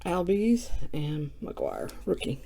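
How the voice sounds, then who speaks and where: A woman who has just woken up, with a low morning voice, chatting casually while opening trading cards on camera. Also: huge pitch swings, takes it slowly, creaky, dark tone. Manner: chatty and self-mocking, reading off card names. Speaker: card breaker. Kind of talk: casual card-opening vlog.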